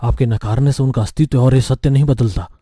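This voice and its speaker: A man playing a fearless, eerie young morgue newcomer in a horror story, speaking calmly and gravely. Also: mid-range voice, fast talker, no echo, clean studio sound, lightly acted. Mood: calm, ominous. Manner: calm, grave, philosophical. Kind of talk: dramatized Hindi horror audio story. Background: music.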